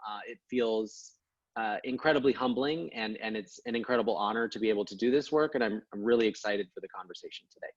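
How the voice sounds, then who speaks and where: A man's voice: mid-range voice, no echo. Earnest man, dull dry recording. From Portland, Oregon, USA. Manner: earnest, measured, with frequent "uh" fillers. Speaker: podcast guest, advocate. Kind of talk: personal introduction on a podcast.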